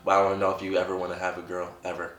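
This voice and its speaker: A man's voice: low-pitched, monotone, racing, fairly bright tone, reverberant. A young man asking his mother questions in a casual family chat, circling around his points with lots of "like". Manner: rambling, filler-heavy questions.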